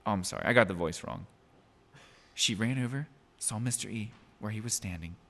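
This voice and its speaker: A man's voice: fairly low pitch, fairly quick, fairly bright tone. A man doing a theatrical, comedic dramatic reading of a story, exaggerated and full of ad-libbed asides.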